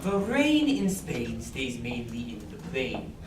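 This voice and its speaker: A woman's voice: medium pitch, very animated, normal speed, natural voice. A woman playing a bossy, superior male professor in a stage musical, drilling commands in a clipped manner. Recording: echoey stage, mic far off, noise in the background.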